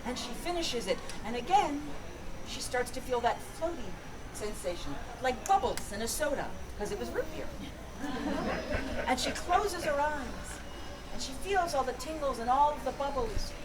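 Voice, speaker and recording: High-voiced woman storyteller at a noisy fair. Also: expressive. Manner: playful, pauses to invite suggestions. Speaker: storyteller, performer. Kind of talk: improvised interactive fairy-tale storytelling. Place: outdoor Renaissance festival with audience. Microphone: distant camera. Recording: noisy outdoor festival, distant mic.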